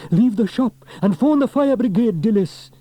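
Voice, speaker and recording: Storybook: man playing flustered shopkeeper, high loud alarmed voice. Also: very animated, moderate pace, breathy edge, no echo. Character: flustered excitable male shopkeeper.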